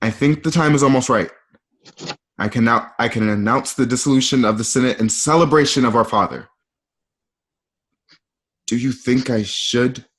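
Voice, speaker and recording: An actor in a dramatic script reading, playing brooding, soulful men of ancient Rome in short, weighted phrases. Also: male voice, mid-range voice, normal speed, pauses often, fairly bright tone, natural voice.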